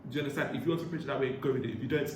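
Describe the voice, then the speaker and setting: A young British man with Igbo as his first language, hosting a casual group chat, talking quickly and stumbling over repeated starts with a joking swagger. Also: medium pitch, steady tone, darkish tone. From Britain. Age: young adult. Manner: fast, repetitive stammering starts, playful boasting. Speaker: channel host. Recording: echoey room, faint ambient noise.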